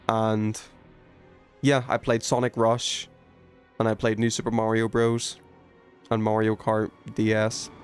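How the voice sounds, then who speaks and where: Casual young man livestreaming over music. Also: on the low side. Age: 20s. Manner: rambling, informal, self-talking while playing. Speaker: video game streamer. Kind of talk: casual gaming livestream chat and commentary.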